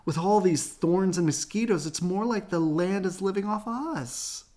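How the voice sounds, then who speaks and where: A man's voice: sits high, a little slow, darkish tone, voice pushed up. A man voicing a sceptical, exasperated storybook mother bear with a dry, complaining manner.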